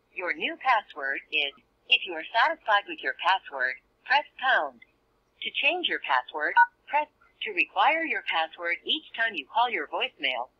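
A woman's voice: mid-range voice, breathy edge. A woman reading scripted automated voicemail prompts, heard through a telephone. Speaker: automated voicemail system announcer. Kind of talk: scripted phone menu prompts. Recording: dull lo-fi phone speaker playback.

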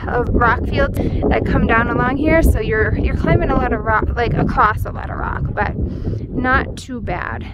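A woman in her thirties with an American accent and a high voice talks casually to a handheld camera. She is chatty and upbeat, like a host on a trail. Loud outdoor noise runs behind her. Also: unhurried, muffled recording. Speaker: vlog host. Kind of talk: casual hiking vlog. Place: outdoors on an open mountain trail. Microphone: handheld camera at arm's length.

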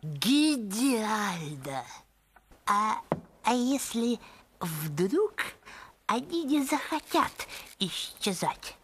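A man playing a fawning, sly henchman in an animated film, with an obsequious, drawling manner. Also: high-pitched, very slow, pauses often, slight background noise, exaggerated voice. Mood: sly, eager.

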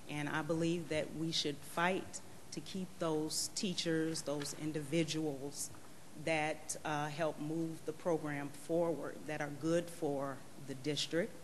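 Earnest mother pleading at a board meeting, halting delivery in a reverberant auditorium. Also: low-pitched. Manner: earnest, hesitant with many fillers. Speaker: parent giving public comment. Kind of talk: public comment at a school board meeting. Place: large auditorium. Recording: reverberant hall, faint room noise.

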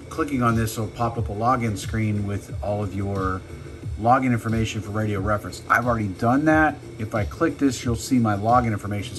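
Low-voiced man giving a casual tutorial. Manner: informal, punctuates steps with short exclamations. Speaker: tutorial presenter. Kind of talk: casual step-by-step how-to narration. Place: indoor room, behind a handheld camera.

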